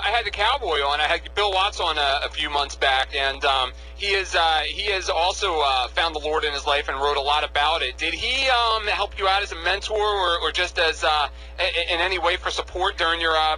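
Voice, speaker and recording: A man's voice: on the high side, moderate pace, few pauses, bright, forceful tone, a little hiss or hum, poor audio. A man hosting a radio interview, asking long, conversational questions. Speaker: radio show host.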